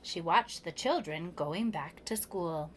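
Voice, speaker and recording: A woman reading a children's picture book aloud with measured, expressive phrasing for young children, as a preschool storyteller. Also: on the low side, slight background noise.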